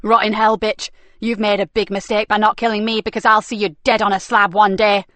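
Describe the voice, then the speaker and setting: A woman voicing a fierce female police detective, talking loudly and forcefully. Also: high-pitched, unhurried, no echo, pitched above the performer's own voice. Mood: furious. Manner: loud, forceful, desperate.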